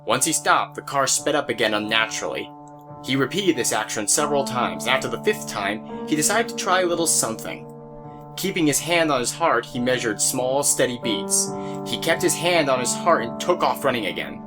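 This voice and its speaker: Male story narrator over clear background music. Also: medium pitch, expressive, normal speed.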